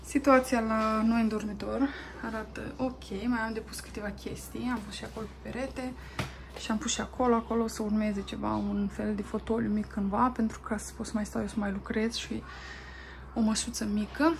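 A fairly high-voiced woman in her thirties from Romania talks chattily into her phone while tidying and cleaning her home. Her narration is relaxed and unscripted, with frequent pauses and fillers as she moves from one chore to the next. A faint hiss of noise sits behind her voice. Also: creaky. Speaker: family vlogger. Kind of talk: casual clean-with-me vlog narration. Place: family home, indoors. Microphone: phone camera.

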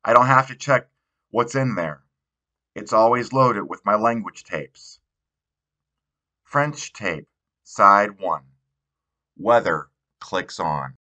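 Male audiobook narrator, slightly low pitch, bright forward tone, reading teen fiction. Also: slow, pauses often, lo-fi sound. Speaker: audiobook reader. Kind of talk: read narration of a young adult novel.

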